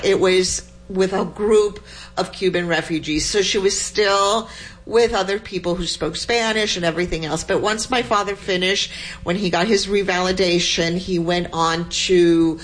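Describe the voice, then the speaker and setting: Cuban-born woman guest, rambling and chatty, often laughing, lowish register. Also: fairly bright tone, slight background noise, poor audio. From Cuba. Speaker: guest poet. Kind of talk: conversational radio interview.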